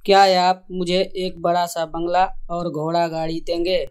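A woman's voice: fairly low pitch, flat delivery, normal speed, a little mellow, smooth, lightly acted. A woman voicing a young male villager who craves comfort, earnest and respectful, in a cartoon moral story. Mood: eager. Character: eager young male villager craving comfort, later ruined.

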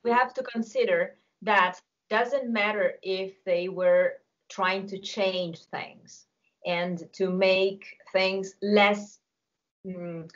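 An Italian woman in her forties speaks English with an Italian accent, explaining singing diction over a video call from a home music room. She picks her words carefully and pauses to think. Her voice comes through dull and lo-fi. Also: medium pitch. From Italy. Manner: thoughtful, explains carefully with some hesitation. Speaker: singing teacher. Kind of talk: casual video call discussion.

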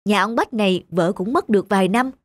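A woman's voice: mid-range voice, brisk, dry recording, close to an everyday voice. An actress playing a nosy, judgmental neighbourhood woman in a cartoon, gossiping in an insinuating way. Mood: gossipy.

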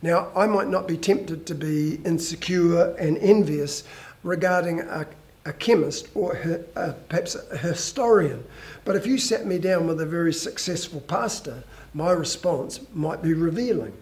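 An older man, a pastor, preaching a measured, earnest sermon on a church stage through a headset mic. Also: a little high, darkish tone. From New Zealand.

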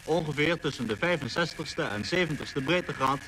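A man's voice: a little high, normal speed, very noisy. A man announcing and narrating a scripted 1940s radio programme, captured on an old disc recording.

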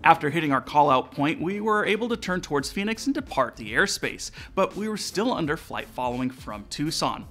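A man's voice: fairly high pitch, animated, a little fast, few pauses, a bit bright. An American man in his forties hosting, giving a scripted explanation to viewers over background music. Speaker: aviation channel host. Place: indoor studio room with aviation decor.

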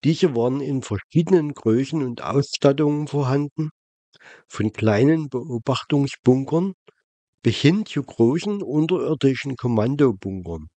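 A man reading scripted documentary narration in a measured, factual manner. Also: mid-range voice, expressive, warm tone, dry recording. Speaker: video narrator. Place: dry voice-over recording.

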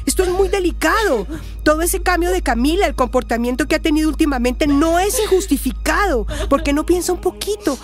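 Earnest high-voiced Colombian neighbour. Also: female voice, animated, a little fast, soft background music. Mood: firm, insistent. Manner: earnest, insistent. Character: firm, concerned woman neighbour confronting a friend. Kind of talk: radio soap opera acting.

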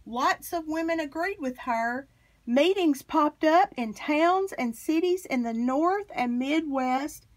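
A woman reading a children's picture book aloud with expressive, emphatic phrasing. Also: sits high, takes it slowly, low-quality recording. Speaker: storybook reader.

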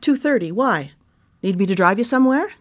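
A woman playing a sharp-tongued teasing sister. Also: medium pitch, expressive, a little fast, lightly acted. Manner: dry teasing, blunt. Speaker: supporting character in a radio drama. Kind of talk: live radio drama acting.

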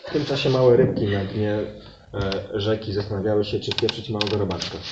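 A man's voice: on the low side, steady tone, brisk, muffled recording. A man narrating an improvised comic fairy tale live, in an echoey room with background noise. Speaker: improv storyteller and performer. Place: live venue with audience.

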